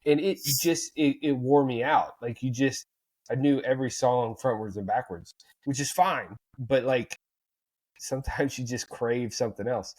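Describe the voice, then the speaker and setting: A man's voice: mid-range voice, a little mellow. A man co-hosting a casual podcast chat, rambling with lots of fillers and restarts. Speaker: podcast co-host.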